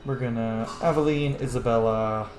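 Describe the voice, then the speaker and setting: A man hosts a let's play from a roomy, echoing space, his voice at an ordinary pitch. He talks casually but argues his opinions hard, swearing freely and leaning on his words for emphasis. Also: steady tone, normal speed, music in the background. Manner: profane, emphatic, argumentative. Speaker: gaming video host. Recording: roomy, echoing home microphone.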